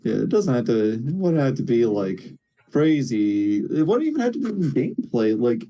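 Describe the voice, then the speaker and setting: A man chatting casually about video games in a halting, slangy way, swearing freely. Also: medium pitch, big pitch swings, mellow.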